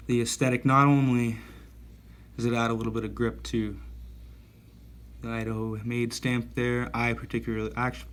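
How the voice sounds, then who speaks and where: A man in his thirties giving a casual gear review, talking in a rambling, self-correcting and enthusiastic way. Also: mid-range voice. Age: 30s. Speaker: knife reviewer.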